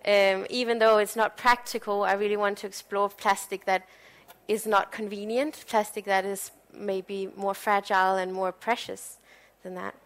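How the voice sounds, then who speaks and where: Young Danish-born woman presenting at a conference, clear smooth voice, casual and loose with fillers. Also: medium pitch, level tone, moderate pace. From Denmark. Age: young adult. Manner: informal, with frequent fillers. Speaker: panel speaker, designer.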